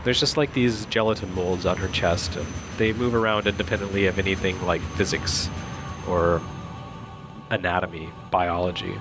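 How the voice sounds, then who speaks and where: A man's voice: sits low, fairly even intonation, moderate pace, music in the background. A man giving casual, joking, rambling commentary on video game cutscenes in a sardonic way. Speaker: reviewer and co-host.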